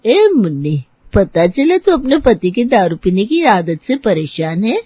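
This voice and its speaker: A woman's voice: mid-range voice, sing-song, a little slow, husky, no echo, lo-fi sound. A woman playing a shrewd, stubborn older housemaid in an audio story, with a colloquial servant's way of talking. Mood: coaxing. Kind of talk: scripted audio story dialogue.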